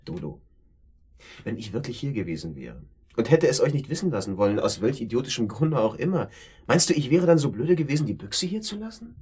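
An irritable man who feels set up and bristles with sarcasm. His husky voice swings widely in pitch as he blusters. The style is dramatic German radio play dialogue. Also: very animated, a little fast, a little mellow. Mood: simmering, sarcastic. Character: irritable, defensive, sarcastic brother feeling set up.

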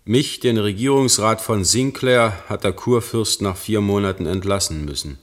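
Male actor as solemn state official, deep voice, formal, measured, dutiful, radio play. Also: close to an everyday voice. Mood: grave, formal. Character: grave government councillor, loyal friend turned dutiful official. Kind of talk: German radio drama acting.

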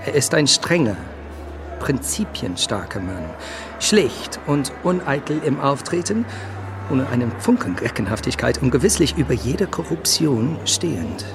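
An actor playing an emphatic government observer, declaiming his gravely admiring report in a radio drama. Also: mid-range voice, very animated, a little slow, music in the background. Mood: grave admiration. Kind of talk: radio drama letter reading.

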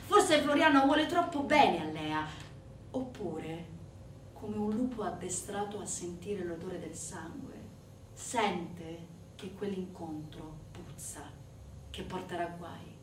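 A woman stage actress delivering dramatic scripted narration with emphatic, swinging storytelling. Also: mid-range voice. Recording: roomy, distant stage recording with faint noise.

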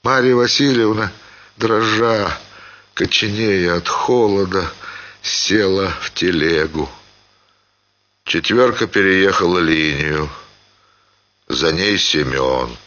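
A man giving an expressive, theatrical reading of a literary story as a radio actor. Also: low voice, slow pace, pauses often, highs cut off. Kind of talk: dramatic literary narration.

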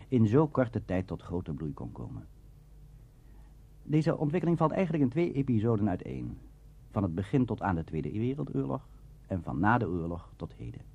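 A man presenting a radio programme, reading a scripted lecture in a measured, formal, declamatory manner. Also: lowish voice, warm tone, slightly rough. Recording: dull, muffled archive radio recording.

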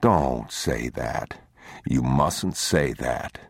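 A man voicing a weary, stern but protective father with terse, hushed commands, in an audiobook story. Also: rumbling low, expressive, slow pace, gravelly, dry recording, heavily acted. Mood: remorseful, tender.

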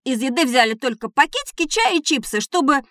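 A woman's voice: sits high, brisk, dry recording, studio quality, full character voice. A woman playing an eager, boastful schoolboy telling stories in a scripted educational audio drama. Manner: animated storytelling.

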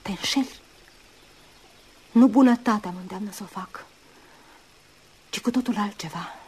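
An actress playing a dutiful, jealous woman in a radio drama, earnest and urgent, often hushed. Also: medium pitch, breathy edge. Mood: wounded, jealous. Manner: earnest, urgent, sometimes dropping to hushed tones. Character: dutiful, jealous woman nursing a rival, intense and wounded. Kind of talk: Romanian radio drama acting. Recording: noisy old radio drama recording.

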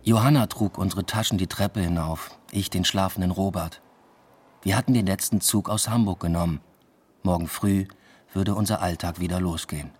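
Low-voiced, understated male narrator. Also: normal speed, a little mellow, breathy edge. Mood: restrained. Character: restrained male university lecturer telling his story. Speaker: narrator and lead. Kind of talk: scripted first-person radio play narration.

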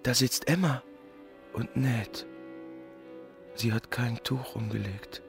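An actor playing a shy, lovestruck country doctor, thinking aloud softly and hesitantly in a husky radio-play voice. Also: male voice, medium pitch, takes it slowly, soft background music. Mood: quietly pleased. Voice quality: husky, breathy, creaky. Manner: soft, hesitant, pensive. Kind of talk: radio play acting, inner monologue.

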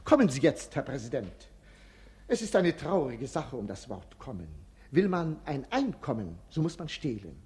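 A man's voice: mid-range voice, sing-song, normal speed, a little mellow, breathy edge. An actor in a 1950s radio play voicing a witty, idle male servant and jester-like companion who talks in cheeky, mocking puns.

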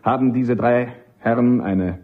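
An actor playing a principled, stubborn army captain and defence lawyer in a radio drama, precise, insistent and formally respectful. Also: male voice, medium pitch, a little slow, lightly acted. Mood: insistent, probing. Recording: dull, muffled old radio recording.

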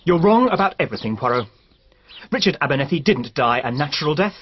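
A slightly dull radio drama recording with faint background noise, a man acting an unstable, resentful husband. He is intense and overwrought, his pitch swinging widely. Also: lively intonation, fast, natural voice. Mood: agitated, bitter. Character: resentful, unstable, self-accusing young husband.

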